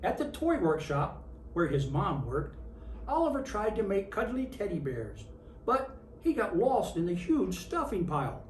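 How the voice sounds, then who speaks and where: An expressive storyteller reads a picture book to children in the role of Santa. The pitch moves in lively, wide swings. The recording is roomy and slightly distant, with faint noise underneath. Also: a little slow. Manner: expressive storytelling with acted character lines. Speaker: storybook reader in character as Santa Claus.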